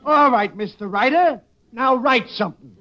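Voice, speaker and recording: A man playing a gruff, wisecracking judge with a New York accent in a 1940s radio comedy, slangy and bossy. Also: very high voice, normal speed, pushed and bright. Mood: bossy, sarcastic. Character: gruff, wisecracking Brooklyn judge, obsessed with baseball. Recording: noisy, dull old-time radio recording.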